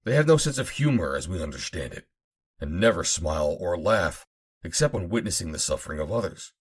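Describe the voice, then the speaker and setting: A man reading an adventure novel aloud as an audiobook narrator, in a measured, formal storytelling manner. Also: sits low, big pitch swings, slightly rough. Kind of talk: dramatic scripted narration of an old adventure novel.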